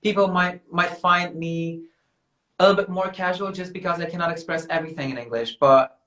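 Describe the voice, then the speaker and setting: A man's voice: sits high, brisk, bright, forceful tone. Man on a roomy phone line. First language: Russian. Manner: curious, asks follow-up questions. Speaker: host of a live stream. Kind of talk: casual interview chat.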